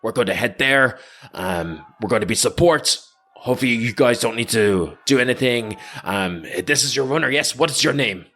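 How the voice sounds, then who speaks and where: A man playing a brisk, excitable guard captain in a tabletop game, giving hurried orders in short, halting bursts. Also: mid-range voice. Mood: brisk, commanding.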